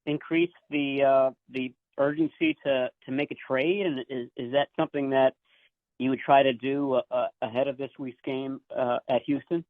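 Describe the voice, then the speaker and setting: A man's voice: mid-range voice, expressive, normal speed, no room sound. A man, a sports reporter, asking questions off the cuff in a hesitant way. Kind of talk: unscripted press questioning. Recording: dry, narrow telephone line.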